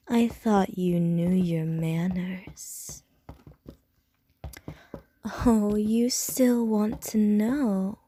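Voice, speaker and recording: A woman voicing a seductive, teasing ancient female vampire in an ASMR audio roleplay, speaking in a soft, breathy whisper. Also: medium pitch, slight background noise.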